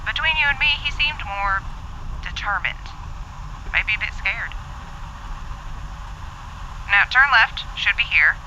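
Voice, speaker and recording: A woman's voice: mid-range voice, expressive, slow, pauses often, sharp tone, highs cut off, full character voice. Woman playing folksy older warden on crackly walkie-talkie. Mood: musing, matter-of-fact. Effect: walkie-talkie radio filter. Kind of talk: audio drama acting. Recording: crackly, band-limited walkie-talkie with noise.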